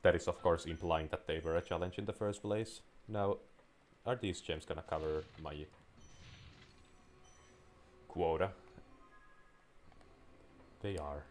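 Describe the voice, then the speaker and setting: A man's voice: deep voice, fairly even intonation, unhurried, pauses often, a little mellow, soft background music. A man whose first language is Finnish, rambling casually while commenting on a game he is playing, drifting into tangents. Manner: rambling, wanders into tangents and restarts sentences. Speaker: gameplay commentator. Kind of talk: casual let's-play commentary.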